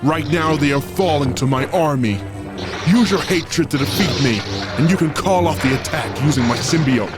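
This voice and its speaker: A menacing Sith lord father, acted by a man, tempting and grandiose in a fan audio drama. His voice is a little high and swings widely in pitch, over loud cinematic music. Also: lively intonation, moderate pace, lightly acted. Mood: commanding, tempting.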